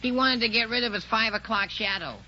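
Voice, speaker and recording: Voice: mid-range voice, brisk, sharp tone. A performer playing a young boy who tosses out dry, mocking quips in 1940s radio comedy. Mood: wry. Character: wisecracking, sarcastic young boy. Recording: muffled, faintly noisy 1940s radio recording.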